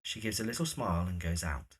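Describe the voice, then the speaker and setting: A man's voice: sits low, lively intonation, brisk, breathy edge. A man reading scripted stage directions as the narrator of an audio drama.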